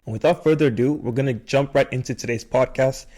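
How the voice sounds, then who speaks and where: A man's voice: mid-range voice, brisk, over loud music. A man hosting a podcast in casual chat, informally explaining his reasoning at length. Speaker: podcast host.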